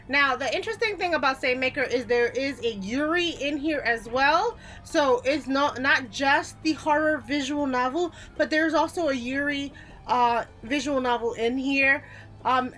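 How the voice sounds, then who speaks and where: High-pitched woman, casual game chat. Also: fairly bright tone. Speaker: video game YouTuber, host. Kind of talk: casual top-ten list commentary.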